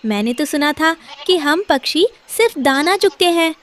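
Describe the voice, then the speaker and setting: A very high, childish cartoon voice for a young boy crow performed by a woman. He is eager and whiny, quick to plead for what he wants. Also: expressive, slight background noise. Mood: curious, delighted.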